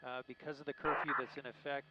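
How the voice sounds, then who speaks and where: A man working as a helicopter news reporter, giving live unscripted commentary in a halting, searching way. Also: medium pitch, level tone. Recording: muffled lo-fi live broadcast with faint noise.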